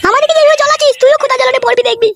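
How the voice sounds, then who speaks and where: An actor voicing a jealous, nagging cartoon first wife with a scornful, accusing manner, over loud music. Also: extremely high pitch, steady tone, rapid-fire, vocal fry, heavily acted. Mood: bitter. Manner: accusing, sarcastic. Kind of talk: comic Bengali cartoon dubbing, bickering.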